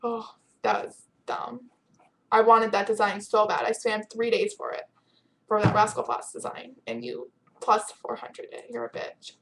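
A woman's voice: on the high side, fairly even intonation, a little slow, gravelly, fairly bright tone, slight background noise. A teenage girl chatting casually on her webcam at home, reading out viewer questions with a giggly, teasing manner. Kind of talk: casual chat. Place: home room with bright window. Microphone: built-in webcam mic.

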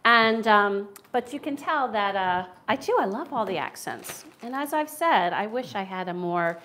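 A woman's voice: mid-range voice, slight background noise. A middle-aged woman with a Philadelphia accent hosting a live cooking stream from a large home kitchen, chatting and laughing as she cooks, picked up by a camera across the room. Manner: chatty, laughs often, talks while cooking. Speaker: cooking show host. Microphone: far camera.

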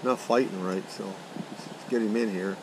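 A middle-aged man giving casual, excited commentary while fishing outdoors by a creek, recorded on a head-mounted action camera. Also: fairly low pitch. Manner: excited exclamations, folksy talk. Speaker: fishing vlogger. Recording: outdoor action camera with loud water noise. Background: rushing water noise.

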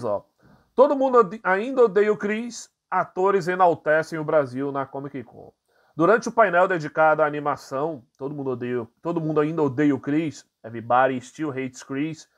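A man with a Brazilian Portuguese accent hosting his channel, reading entertainment news aloud and commenting casually with frequent fillers. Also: a little high. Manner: casual, many fillers, reads articles aloud then comments. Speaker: channel host.

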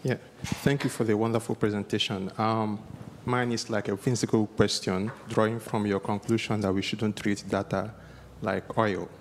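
A man in the audience politely asking a measured question after a lecture. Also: fairly low pitch, flat delivery, in a large hall, slight background noise. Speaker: audience member.

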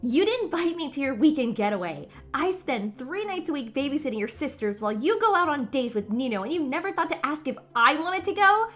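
A woman voicing a jealous, spiteful teenage supervillain in a fan comic dub, forceful and threatening. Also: high-pitched, very animated, brisk, hardly any pauses. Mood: resentful.

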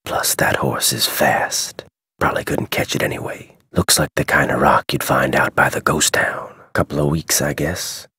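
An actor playing a gruff, guarded head wrangler in a video game, with a terse, folksy American Western drawl. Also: male voice, rumbling low, fairly even intonation, moderate pace, pushed and bright, dry recording, clean studio sound, heavily acted. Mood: wary. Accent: American Western cowboy drawl. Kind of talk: scripted game dialogue.

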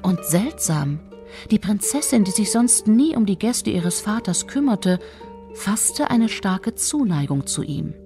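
A woman's voice: mid-range voice, very animated, unhurried, creaky, warm tone, soft background music. A woman reading scripted fairy-tale narration as the storyteller.

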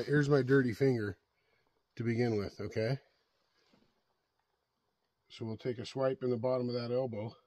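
A man's voice: medium pitch, darkish tone. A middle-aged man vlogging outdoors in a snowy forest, talking casually about his chainsaw and often checking in with the viewer. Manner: folksy, repeats himself, asks the viewer "right?". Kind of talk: casual outdoor show-and-tell. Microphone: helmet-mounted action camera.

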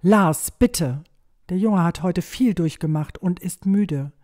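A woman's voice: fairly low pitch, slow pace, warm tone. A woman playing a caring, anxious, protective village mother in a fantasy audio drama. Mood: anxious care.

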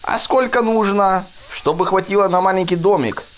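Dude voicing a naive eager builder boy toy, earnest, pitched up, kids game. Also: normal speed, slight background noise, lightly acted.

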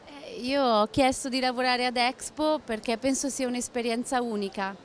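A young woman interviewed at an indoor exhibition stand, answering in brief, simple sentences. Her voice is fairly high, with faint noise in the background. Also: steady tone, normal speed. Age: young adult.

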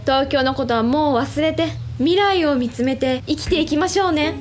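An actress playing a kindly, reassuring woman in a radio drama, gentle and encouraging. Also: high-pitched, moderate pace, faint music behind, natural voice.

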